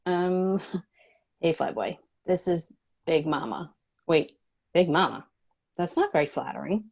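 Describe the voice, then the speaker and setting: A woman playing a guarded but caring leader in a screenplay table read, measured and matter-of-fact. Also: lowish voice, creaky, darkish tone. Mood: wry.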